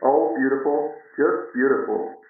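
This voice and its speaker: A man's voice: mid-range voice, steady tone, unhurried. An actor playing a folksy male space crewman talking over a radio link. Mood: matter-of-fact. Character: male American astronaut and mission controller, folksy. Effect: radio filter. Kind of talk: radio transmission acting. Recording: tinny, echoey 1970s space radio.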